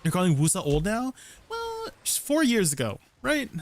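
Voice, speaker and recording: A man's voice: sits high, wildly swooping pitch, moderate pace. A young man casually commenting on his gameplay during a livestream, explaining combos and answering viewers, often ending sentences with "right?". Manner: chatty, answers viewers' questions. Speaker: game streamer.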